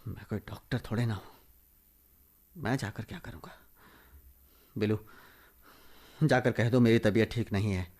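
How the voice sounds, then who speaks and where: A man's voice: lowish voice, normal speed, pauses often, darkish tone. A man acting in a Hindi radio drama as a selfless, weary village teacher and father, earnestly repeating words for emphasis.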